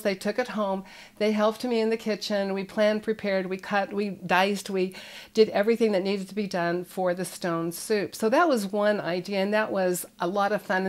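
An older woman hosting a parenting show, talking to camera in a friendly storytelling way, indoors in front of shelves of children's books. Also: medium pitch.

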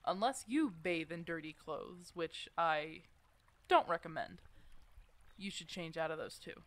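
A woman's voice: fairly low pitch, measured pace, dry recording, lightly acted. A woman playing a protective, teasing werewolf mentor in an ASMR roleplay, casual and bossy but affectionate. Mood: teasing, coaxing. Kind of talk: ASMR roleplay audio drama addressed to the listener.

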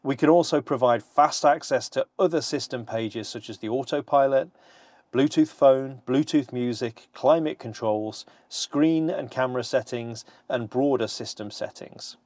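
A middle-aged man giving a scripted, measured walkthrough of a cockpit system, speaking precisely while seated in an aircraft cockpit. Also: mid-range voice, a little mellow, dry recording. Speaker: company presenter.

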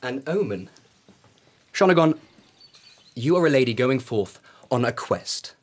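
A man's voice: mid-range voice, expressive, measured pace. A man playing a whimsical, romantic emperor of old Japan in a radio drama, with a breezy, regal manner. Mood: breezy, eager.

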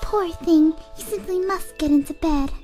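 A woman voicing a shy, soft-hearted young pony in a cartoon fandub, gentle and cooing. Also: extremely high pitch, normal speed, faint music behind. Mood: doting.

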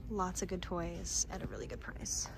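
A woman's voice: lowish voice, expressive, moderate pace, background noise. A young American woman giving chatty, appreciative shop-with-me commentary in a casual vlog style. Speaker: lifestyle vlogger. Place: inside a retail store. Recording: music over handheld store recording. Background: music.

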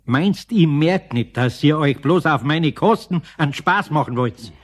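An actor playing a skeptical, kindly older master carpenter with a Bavarian accent in a radio play, husky and grumbling. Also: male voice, fairly high pitch, animated. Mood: grumpy, skeptical. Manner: grumbling, unhurried, dismissive. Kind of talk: 1970s radio play dialogue.

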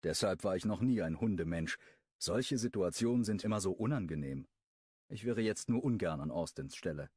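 A low-voiced man reads a first-person romance novel with a wry, expressive delivery and a lot of melodic movement. The sound is close and completely dry, like a studio booth. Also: moderate pace, breathy edge, no echo. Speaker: audiobook narrator.

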